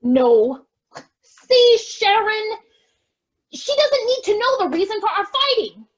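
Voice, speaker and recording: A woman's voice: very high voice, very animated, takes it slowly, lo-fi sound, heavily acted. A woman playing a defensive, melodramatic estate heiress in an amateur online stage farce, theatrical and exclaiming. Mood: exasperated. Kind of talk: amateur stage farce acting over video.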